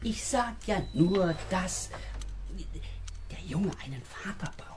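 An actor playing a stuffy, proper pharmacist in a children's radio play, with a prim, pedantic manner. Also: male voice, high voice, animated, slow, a little mellow, slight background noise. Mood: indignant, lecturing. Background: music.

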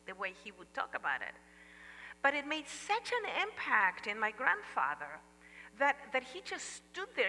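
Brief: older woman, Argentine Spanish accent, lively chapel speaker, bright and somewhat high, long hall reverb. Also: a little hiss or hum. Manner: lively, animated gestures, humorous asides. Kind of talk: chapel talk with storytelling. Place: large church sanctuary stage.

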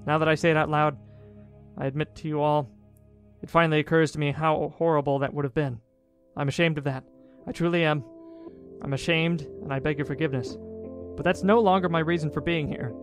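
A man's voice: fairly high pitch, steady tone, fairly quick, soft background music, lightly acted. An actor playing an earnest, idealistic man who preaches peace in an audio drama. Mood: solemn, remorseful. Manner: earnest, pleading. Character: earnest, idealistic male pacifist preacher from space.

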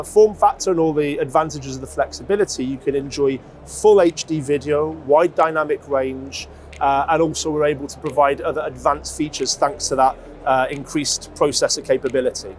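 A man in his forties explaining a product in a measured way, as a company representative interviewed on a lapel mic. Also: on the high side, slight background noise. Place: busy trade show exhibition hall.

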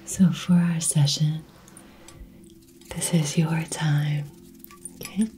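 A woman softly whispering a soothing ASMR Reiki session, speaking gently and personally to the listener as a healer. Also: low voice, little pitch movement, slow, faint music behind. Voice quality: breathy whisper. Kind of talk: soft-spoken ASMR healing session.

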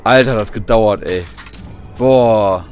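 A man's voice: lowish voice, takes it slowly, a little mellow, slight background noise. A man giving casual, colloquial and often grumbling commentary while playing a video game. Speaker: gamer doing a let's play.

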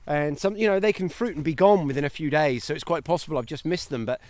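A man's voice: on the high side, fast, darkish tone. A man in his thirties vlogging outdoors while walking a grassy paddock, chatty and enthusiastic as he points things out. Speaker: homesteading channel host. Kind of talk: walking vlog, mushroom foraging tips. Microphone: phone held at arm's length.

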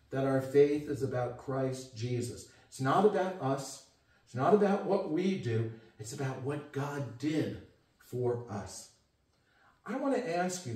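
An older man preaching an earnest sermon from his living room, recorded by a camera across the room. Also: a little high. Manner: earnest, gesturing, rises for emphasis and drops quiet. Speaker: pastor. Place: home living room with guitars and a TV. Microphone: far camera. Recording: echoey room from far off.

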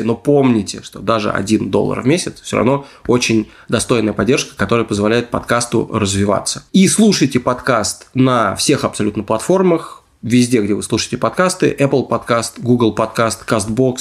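A man's voice: medium pitch, vocal fry. A man hosting a podcast in a casual storytelling style, with wry, hedging asides. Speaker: podcast host.

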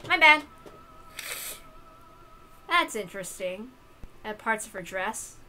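A woman's voice: mid-range voice, expressive, takes it slowly, bright, forceful tone, soft background music. A woman streamer reading a visual novel aloud, with informal asides of her own. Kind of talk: casual commentary on a game read-aloud.

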